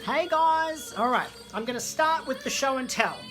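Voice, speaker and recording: A woman's voice: high voice, expressive, normal speed, a bit bright. A woman hosting an online story time, chatting to viewers in a theatrical, gushing way. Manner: theatrical, gushing, addresses audience as darlings. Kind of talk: chatty show and tell to viewers. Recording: music playing in the background. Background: background disco music.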